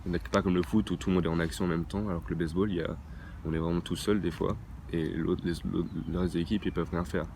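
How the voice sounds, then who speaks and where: A man's voice: low voice, fairly even intonation, fast, a little mellow. A teenage boy who plays baseball, answering interview questions hesitantly with many fillers and restarts, outdoors at a training ground. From France. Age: teens. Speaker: young baseball player interviewed. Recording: outdoor traffic noise. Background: traffic and ambient noise.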